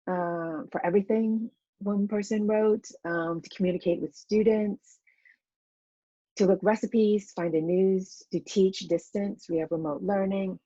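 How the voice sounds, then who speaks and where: A woman's voice: lowish voice, mellow, dry recording, lo-fi sound. A woman in her thirties, originally from Vietnam, giving a conference presentation over a video call in a casual way, with many fillers like "um" and "you know". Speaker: teacher educator presenting. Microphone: computer mic on a video call.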